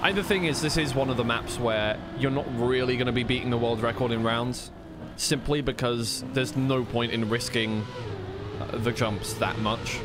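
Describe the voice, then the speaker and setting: Male racing game commentator, mid-pitched, casual co-stream delivery, chatty and off the cuff, noticeable engine noise in the background. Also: steady tone, moderate pace. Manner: chatty, informal, thinking aloud. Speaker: esports caster on a co-stream. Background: racing game car sounds.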